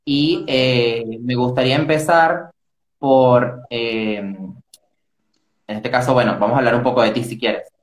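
A man hosting an interview, asking halting questions full of fillers. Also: mid-range voice, fairly even intonation. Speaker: interview host. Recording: roomy echo with faint music.

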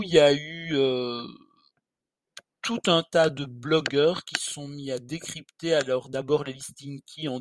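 A man co-hosting a podcast, talking in a loose, chatty and slightly irreverent way. His voice sits a little high for a man. A faint hiss runs under the recording. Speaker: podcast co-host. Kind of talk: casual podcast chat.

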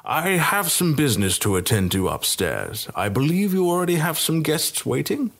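A discreet king speaks plainly and calmly in a somewhat raised voice, as a man plays him. His delivery is unhurried and matter-of-fact. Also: a little high, vocal fry, pitched above the performer's own voice, full character voice. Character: discreet king, father, calm.

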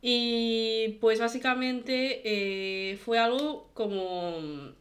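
A woman's voice: a little high, extremely slow. A young woman from talks casually as a podcast guest, explaining things informally. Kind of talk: casual personal interview.

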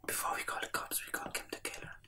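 Male, hushed and hesitant whisperer for unscripted ASMR role play, recorded slightly distant. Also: fast. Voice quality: breathy whisper.

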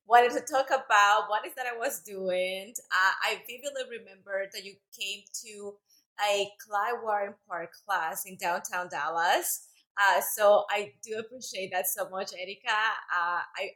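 A woman's voice: medium pitch, a bit bright, poor audio. A woman from Costa Rica, a native Spanish speaker with a Central American accent, telling her story conversationally as a podcast guest. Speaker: podcast guest, Zumba instructor.

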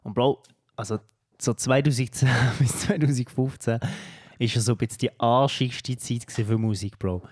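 A man's voice: medium pitch, moderate pace. A young man with a Swiss German accent, co-hosting a podcast in casual, slangy chat. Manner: slangy and animated, keeps saying "bro". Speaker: podcast co-host.

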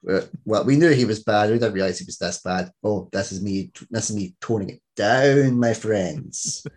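A man's voice: fairly low pitch, normal speed, a little mellow. A man hosting a podcast in casual, enthusiastic chat, joking and swearing freely. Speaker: podcast host.

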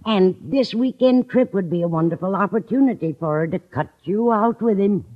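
An actress playing a matter-of-fact mature woman, gently needling her friend in an old radio comedy. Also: medium pitch, moderate pace, natural voice. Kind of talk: 1940s-50s radio comedy acting. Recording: muffled old radio broadcast recording.

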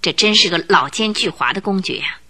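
A woman narrating a radio drama series with vivid, expressive storytelling. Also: fairly low pitch, fairly quick, a little hiss or hum. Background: background music.

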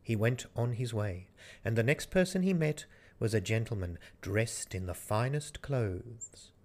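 A man's voice: lowish voice, expressive, measured pace, a little mellow. A man reading a fairy tale as a storyteller, in scripted narration.

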